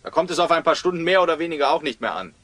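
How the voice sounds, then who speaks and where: A man's voice: on the high side, lively intonation, very fast, fairly bright tone, no room sound. A man playing a chatty, stubborn young peasant farmer in a German audio drama, hearty and theatrical.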